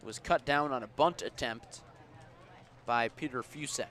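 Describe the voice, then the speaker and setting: A man calling baseball live on the radio, measured between pitches and punchier on plays, as an announcer does. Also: a bit bright. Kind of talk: live radio sports commentary. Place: outdoor baseball ballpark. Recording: outdoor ballpark ambient noise.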